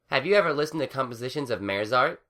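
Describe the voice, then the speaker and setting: A man's voice: medium pitch, lively intonation, quick pace, pushed and bright, pitched above the performer's own voice. A man voicing a refined young pegasus mare who talks in a prim, formal way.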